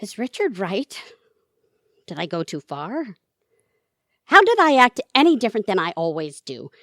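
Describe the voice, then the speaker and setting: A woman acting in an audio drama as a bossy, scornful middle-aged wife and mother with a sharp tongue. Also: mid-range voice, sing-song, moderate pace, no room sound, clean studio sound. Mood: rattled, pleading.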